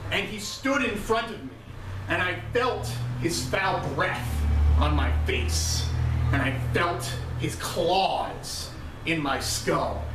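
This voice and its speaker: A man giving a grandiose in-character speech as a proud, defiant vampire cardinal, with dramatic, emphatic pauses. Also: high-pitched, a bit bright. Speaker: live role-play performer giving an in-character speech. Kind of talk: theatrical oration to a gathering. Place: indoor room at a live role-play gathering. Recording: roomy, distant, noisy live-event recording.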